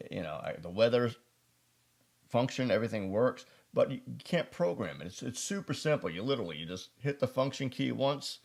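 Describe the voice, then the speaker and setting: Guy from the us doing a laid-out casual product review, real low voice, lots of fry, chatty and folksy. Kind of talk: casual unscripted gear review.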